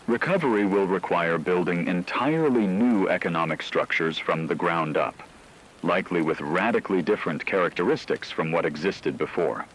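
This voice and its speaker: A grave male news anchor performed by an actor delivers an apocalypse radio bulletin. His low voice is measured and formal, with faint noise behind the scripted report. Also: lightly acted, low-quality recording.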